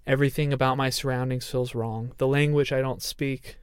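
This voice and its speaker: A man in his thirties with a smooth, resonant voice talks with earnest openness. He sounds thoughtful and personal, recorded close and dry. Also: medium pitch, flat delivery, brisk, no room sound. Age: 30s. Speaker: podcast co-host. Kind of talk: casual reflective chat.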